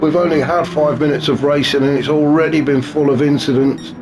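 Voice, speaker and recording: A man commentating a sim race in a chatty, wry way, his voice a bit gravelly and snuffly from a cold. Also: on the high side, steady tone, brisk. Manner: chatty and wry, many fillers. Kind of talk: live sim racing commentary. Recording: noisy with car engine sounds behind.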